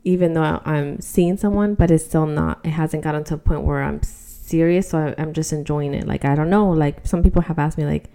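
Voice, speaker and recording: A woman's voice: low voice, a little fast, hardly any pauses, dark tone. A woman in her early thirties co-hosting a podcast, chatting casually in an informal, conversational way. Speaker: podcast co-host. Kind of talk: casual personal chat.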